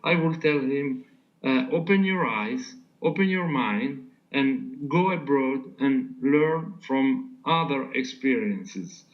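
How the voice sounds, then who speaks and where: A man from Italy with an Italian accent, answering interview questions in English with many pauses and fillers. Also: mid-range voice, animated, dull audio. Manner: hesitant, many fillers, searching for words. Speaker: physical therapist guest.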